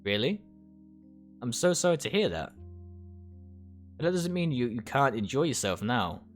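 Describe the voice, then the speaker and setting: An earnest, friendly young woman from a visual novel, voiced by a male reader. She coaxes and insists with animated, swinging intonation. Faint music sits behind the voice. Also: medium pitch, normal speed, close to an everyday voice. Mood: concerned.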